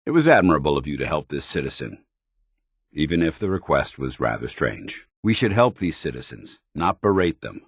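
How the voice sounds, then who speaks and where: An American actor playing a stern, principled military paladin in a video game, with clipped, formal, authoritative diction. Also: male voice, extremely low pitch, very animated, normal speed, gravelly, breathy edge, no room sound, studio quality, exaggerated voice. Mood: firm, measured. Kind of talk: video game companion dialogue.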